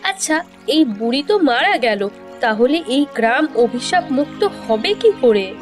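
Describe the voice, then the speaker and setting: A woman's voice: high voice, very animated, a little slow. A woman voicing a concerned, impatient village woman in a cartoon fairy tale. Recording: background music under dialogue.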